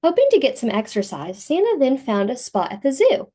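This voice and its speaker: A woman reading a picture book aloud to young children in an expressive storytelling manner. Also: high voice, fairly quick.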